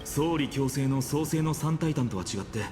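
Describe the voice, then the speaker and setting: An actor playing a calm young male hero in an anime-style game, explaining things in a composed, well-spoken way. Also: a little high, racing, echoey room, natural voice. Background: clear game music.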